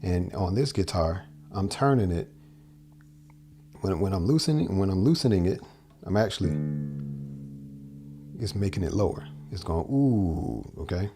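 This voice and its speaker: A man's voice: low-pitched, lively intonation, measured pace, pauses often, mellow. A man in his forties giving a casual guitar lesson from a small home studio, explaining in loose, rambling sentences that often correct themselves. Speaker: guitar teacher. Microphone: large dynamic mic on boom arm.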